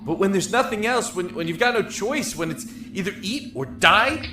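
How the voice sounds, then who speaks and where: An actor playing a lonely, emotional young man in a prison cell in an audio drama, talkative and halting. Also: sits high, expressive, noisy background. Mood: rueful, determined. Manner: halting, rambling, effusive. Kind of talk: audio drama acting with first-person narration. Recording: echoey room with music behind.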